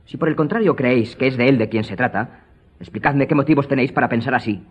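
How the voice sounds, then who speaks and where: An actor playing a hot-headed, honour-bound young aristocrat in a radio drama, formal and insistent. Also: male voice, mid-range voice, fast, breathy edge, dull audio, natural voice.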